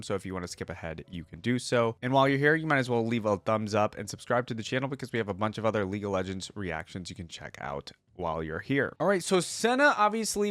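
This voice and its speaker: A man's voice: medium pitch, no room sound. A man hosting a reaction video, talking informally as he comments and reads lore aloud.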